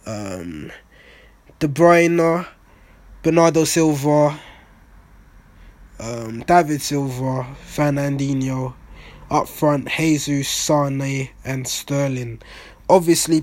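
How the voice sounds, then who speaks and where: Hoarse, raspy young man. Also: fairly high pitch, dry recording. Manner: excitable, blunt, swears freely. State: hoarse, voice nearly gone. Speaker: football fan vlogger. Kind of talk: casual post-match reaction monologue.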